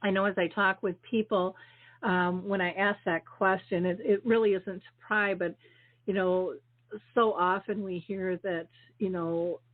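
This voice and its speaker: A woman's voice: medium pitch, fairly even intonation, unhurried. Chatty woman host on a call. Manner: chatty, full of fillers and warm thanks. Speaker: podcast host. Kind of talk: casual interview chat. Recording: phone-like video call audio.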